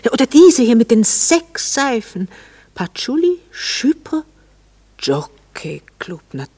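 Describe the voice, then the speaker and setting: A woman's voice: on the high side, big pitch swings, slow, dark tone, slightly rough, exaggerated voice. An actress playing a married society lady with a Viennese accent in a radio drama, sarcastic, imperious and playfully reproachful. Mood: sarcastic, mocking. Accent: Austrian, Viennese. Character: sharp-tongued married society lady, coolly mocking yet flirtatious. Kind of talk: German radio drama dialogue.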